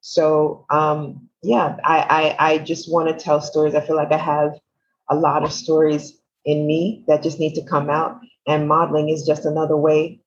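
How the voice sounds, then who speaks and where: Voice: mid-range voice, monotone, normal speed. A guest with a Nigerian accent, a model turned actor, talking enthusiastically with many restarts and fillers. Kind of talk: casual interview chat. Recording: dull lo-fi sound.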